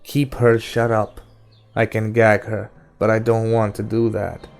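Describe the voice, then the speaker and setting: A stern gunslinger voiced by a male audiobook reader, quietly menacing and controlled. The voice is low and gravelly, with a soft, even delivery. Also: measured pace, soft background music. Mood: quiet warning. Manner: even, silky, soft, with sudden growls.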